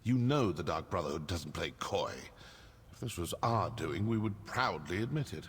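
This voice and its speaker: An actor playing a sly, sardonic assassin in a fantasy game, theatrical, dry and condescending. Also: male voice, low voice, expressive, normal speed, husky. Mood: sardonic, defiant. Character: sly, sardonic male assassin of a secret guild. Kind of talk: scripted fantasy game acting. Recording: long reverb, faint noise.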